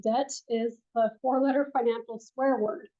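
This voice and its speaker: Soft-spoken woman teaching a money class. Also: fairly high pitch, steady tone, moderate pace. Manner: soft-spoken, with frequent ums. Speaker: financial advisor teaching a class. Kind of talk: classroom presentation. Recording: dull lo-fi room recording.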